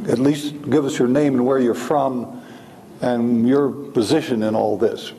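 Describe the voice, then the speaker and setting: A man's voice: mid-range voice, steady tone, measured pace, mellow, slight background noise, low-quality recording. A man facilitating a group meeting, speaking casually and hesitantly as he lays out the plan. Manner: hesitant, thinking aloud.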